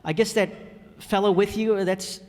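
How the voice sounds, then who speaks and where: A man plays a good-hearted, slightly timid middle-aged husband and father in a radio play. His speech is plain, halting and homespun, with loose grammar, and it rings in a large reverberant hall. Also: sits high, fairly even intonation, darkish tone, close to an everyday voice. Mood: friendly, chatty. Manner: halting, folksy, ungrammatical speech. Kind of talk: old-time radio comedy-drama acting. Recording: reverberant hall stage recording.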